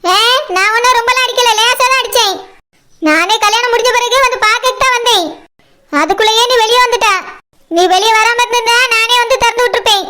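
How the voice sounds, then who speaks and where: A woman voicing a stubborn, snappy village woman in a cartoon comedy, with a Kanyakumari Tamil accent and a pitched-up voice. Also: brisk, bright, forceful tone. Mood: angry, defiant. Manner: snappy, dismissive, argumentative. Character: brusque, stubborn village woman, fiercely protective older sister. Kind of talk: animated village comedy dialogue.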